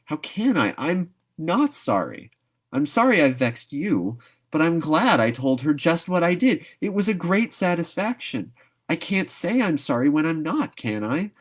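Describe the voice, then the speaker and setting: Storybook young girl, played by a man: proud, stubborn, dramatic delivery, pitched up from his own voice. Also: big pitch swings, unhurried. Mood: mournful, stubborn. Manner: dramatic, emphatic. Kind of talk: storybook read-aloud character voice.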